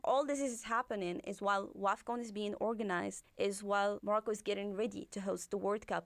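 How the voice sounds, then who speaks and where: A woman speaks as an interview guest, laying out her points in a measured, explanatory way. Her voice sits at an ordinary middle pitch. The sound is dry and close, with no room around it. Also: dry recording. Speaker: guest analyst. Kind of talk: news interview answers.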